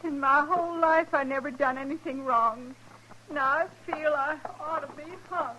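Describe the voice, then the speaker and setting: An actress playing a humble, wounded homesteader's wife with a rural American accent in an old radio western. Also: female voice, high-pitched, normal speed, noisy background, close to an everyday voice. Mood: anguished, ashamed. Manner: plaintive, plainspoken country grammar.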